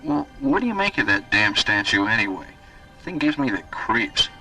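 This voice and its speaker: Male mining boss: uneasy, blunt, expressive medium voice, music behind, sci-fi radio. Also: fairly low pitch, moderate pace, exaggerated voice, rough recording. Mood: uneasy, curious. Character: uneasy, plain-spoken male mining director. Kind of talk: radio drama acting.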